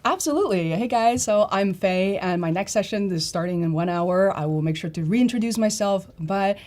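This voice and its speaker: A woman's voice: mid-range voice, animated, normal speed, darkish tone. Upbeat articulate woman guest. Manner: upbeat and enthusiastic, fluent and articulate. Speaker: livestream guest, content creator. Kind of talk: casual livestream chat.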